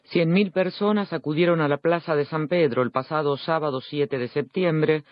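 A man reading a scripted radio news item in a measured, formal way. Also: a little high, no room sound, soft background music. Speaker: news presenter. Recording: dry, slightly dull radio studio sound.

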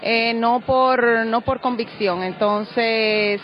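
A woman's voice: a little high, steady tone, extremely slow, a little hiss or hum. A woman with a Dominican accent answering a street interviewer candidly, searching for her words. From Dominican Republic. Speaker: vox pop interviewee. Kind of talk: casual unscripted street answer. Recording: dull lo-fi field interview.

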